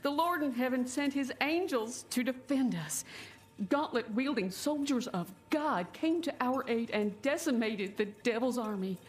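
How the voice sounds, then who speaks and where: A devout, earnest woman of the frontier in a dramatic video game voice-over. Her voice is high and very slow, with solemn, pleading delivery as she reads out letters. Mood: relieved, reverent.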